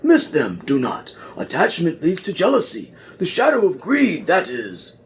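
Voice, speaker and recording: A man voicing a wise old Jedi master who ponders slowly in backwards word order, in a parody audio play. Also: a little high, huge pitch swings, a little hiss or hum, voice pushed up, full character voice. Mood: solemn counsel. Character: wise old male Jedi master speaking in inverted riddles.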